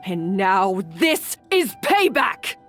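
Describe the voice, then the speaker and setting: A bitter young woman out for revenge in a video game, speaking slowly and with dramatic swings of pitch. She sounds wounded and anguished, and soft music plays behind her. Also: sing-song. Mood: vengeful.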